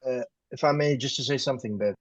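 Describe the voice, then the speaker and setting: A man's voice: medium pitch, fast, dry recording, muffled recording. A man on a video call, politely cutting in and stumbling over his words. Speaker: event participant.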